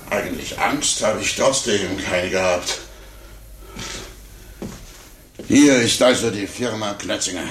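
A man's voice: mid-range voice, slight background noise. An actor in a 1950s German radio play playing a pompous, panicky, self-pitying businessman trapped alone, muttering to himself. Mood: indignant, blustering. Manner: self-pitying muttering monologue, swinging between whispers and shrieks.

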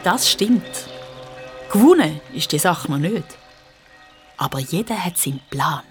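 A woman with a Swiss German accent narrating a children's audio drama in an animated, expressive storytelling style. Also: on the low side, measured pace. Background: loud music with violin.

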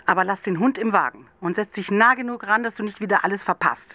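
A woman's voice: mid-range voice, fast, pushed and bright, no echo. An actress playing a bossy, impatient woman who nags and teases her detective in a radio crime drama. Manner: impatient, pushy, teasing. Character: bossy, nagging woman running a detective job.

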